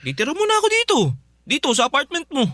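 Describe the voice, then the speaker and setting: A man's voice: very high voice, big pitch swings, fast, sharp tone, dry recording, studio quality, heavily acted. A man playing a devoted, insistent youngest son talking earnestly to his father in a Filipino radio drama. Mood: cheerful, insistent. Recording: music playing behind.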